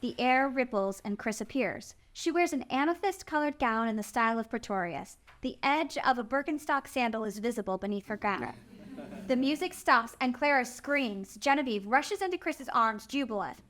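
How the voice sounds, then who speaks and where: A woman's voice: on the high side, lively intonation, normal speed, fairly bright tone. A woman narrator reading out the stage directions and scene headings of a screenplay.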